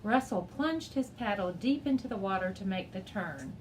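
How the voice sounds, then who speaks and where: A woman reading a children's chapter book aloud as a teacher, in an expressive storybook style. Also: medium pitch, moderate pace, a little hiss or hum, poor audio.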